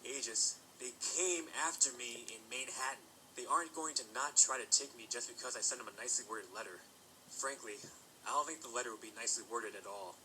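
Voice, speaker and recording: A man's voice: medium pitch, brisk, bright, forceful tone, slight background noise, poor audio. A man voicing a sardonic, blunt young pony in a fan audio drama. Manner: sarcastic, blunt.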